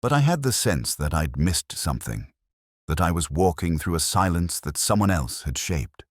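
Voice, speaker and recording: A man with an extremely deep voice narrates a literary detective story. His delivery is measured and brooding, built from deliberate short phrases with expressive swings in pitch. The sound is close and dry. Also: dry recording. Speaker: audio drama narrator.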